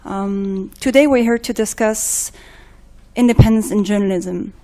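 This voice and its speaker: A woman moderating a panel discussion, introducing speakers with frequent ums and pauses. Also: medium pitch, warm tone. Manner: hesitant, many ums and restarts.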